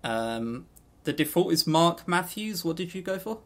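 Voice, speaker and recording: A man co-hosting a podcast, chatting casually about games and telling stories in an animated, jokey, sweary way. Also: fairly high pitch, a little slow. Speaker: podcast co-host.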